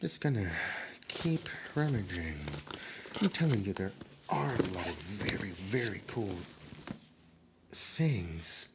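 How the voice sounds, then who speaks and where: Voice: low-pitched, lively intonation, slow pace, long pauses, background noise, heavily acted. A performer voicing a nerdy, easily flustered immortal demon in an ASMR roleplay, with a stammering, theatrical delivery. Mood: wistful, soft. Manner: stammering, theatrical, swinging from soft musing to panicked outbursts. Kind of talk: roleplay ASMR character acting.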